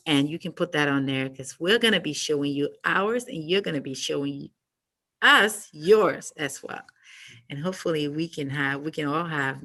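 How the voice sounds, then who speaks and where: A woman's voice: sits low, fairly bright tone. A woman hosting a children's storytime, reading aloud and then asking the kids encouraging questions, with some ums and restarts.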